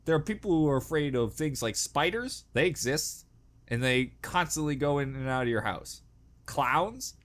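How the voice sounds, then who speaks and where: A man's voice: fairly high pitch, lively intonation, moderate pace. A man giving casual, rambling reaction commentary with jokey, crude humour and exaggerated dread. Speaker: reaction video host.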